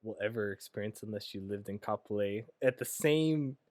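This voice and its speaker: A man in his thirties raised in Hawaii, co-hosting a casual podcast, relaxed and swearing casually. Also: on the low side, normal speed, no room sound, clean studio sound. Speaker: podcast co-host. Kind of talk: casual chat.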